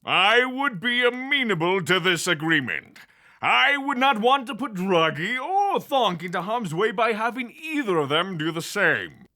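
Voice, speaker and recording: A man as a bright, high-voiced genteel immortal. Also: very animated, a little slow, full character voice. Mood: courteous. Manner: polite, formal, effusive. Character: genteel, doting supernatural owner of magnificent beasts.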